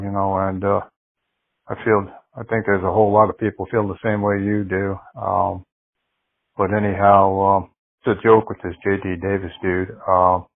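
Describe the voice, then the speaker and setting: A man's voice: low-pitched, vocal fry, no echo. A man leaving a rambling voicemail for a show, full of ums and you-knows. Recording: tinny phone-line voicemail.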